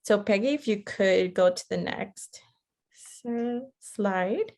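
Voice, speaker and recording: A woman's voice: mid-range voice, slow, a little mellow. Female webinar presenter, middle-register voice; conversational educator who keeps checking in with listeners. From Costa Rica. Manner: conversational, checks in often with "right?". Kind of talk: interactive online lecture.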